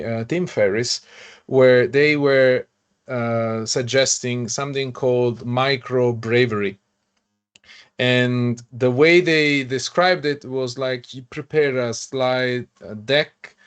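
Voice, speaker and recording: Man, halting casual chat. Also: mid-range voice, smooth. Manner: halting, many restarts and fillers, laughs often. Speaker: podcast host.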